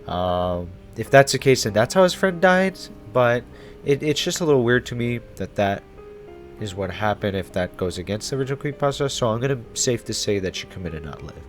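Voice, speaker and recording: A man's voice: medium pitch, sing-song, a little fast, soft background music. A man hosting a YouTube explainer in a casual, irreverent, conversational way. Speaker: YouTube host. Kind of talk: casual horror series explainer.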